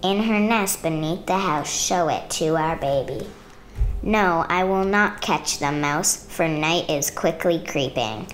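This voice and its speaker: A woman reading a children's picture book aloud in measured, rhythmic rhyme. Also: low-pitched, slight background noise. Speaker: storybook reader.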